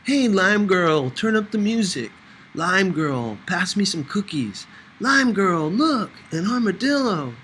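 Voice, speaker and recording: A man voicing a playful, gentle and curious teenage boy in an audiobook, with a casual, teasing manner. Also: high voice, very animated, slow, a little hiss or hum, pitched above the performer's own voice, heavily acted.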